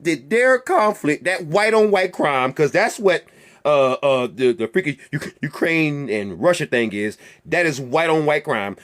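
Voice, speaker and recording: A man rants straight to his viewers, hammering each point with rhetorical questions and swearing. His voice sits high and swoops widely up and down as he repeats phrases for emphasis. It sounds like a solo host talking his mind on his own channel. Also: a bit bright. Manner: heated and emphatic. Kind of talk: ranting monologue to viewers.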